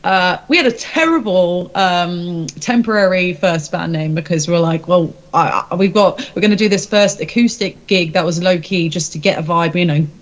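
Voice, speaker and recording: A woman's voice: lowish voice, normal speed, hardly any pauses, muffled recording. A woman chatting casually as an interview guest, with an informal, rambling, giggly manner. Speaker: rock band singer interviewed as guest. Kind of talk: casual chat.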